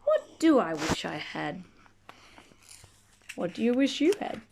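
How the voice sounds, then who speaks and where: A woman reading a rhyming children's book aloud expressively, drawing out key words and chatting gently to young listeners. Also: mid-range voice, gravelly, noisy background. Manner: animated, drawn-out key words, gentle questioning asides. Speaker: storybook reader reading to children.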